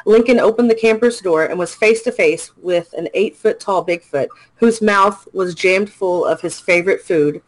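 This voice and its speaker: A man's voice: sits high, normal speed, few pauses, poor audio. A man co-hosting a casual podcast, reading a news story aloud with small slips and self-corrections. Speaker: podcast co-host.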